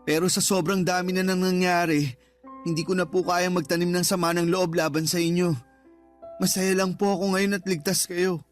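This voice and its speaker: Man acting earnest high-voiced Filipino older brother. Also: little pitch movement, moderate pace, faint music behind, natural voice. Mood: hurt, forgiving. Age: young adult. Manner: earnest, emotional, respectful. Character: earnest, protective grown son and older brother. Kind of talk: Filipino radio drama acting.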